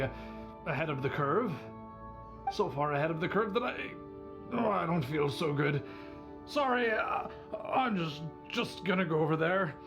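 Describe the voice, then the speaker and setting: Kindly old bar patron for an anime game, flattering, polite, theatrical, music clearly underneath. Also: male voice, a little high. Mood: polite, queasy. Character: good-natured old tavern drinker, gushing admirer.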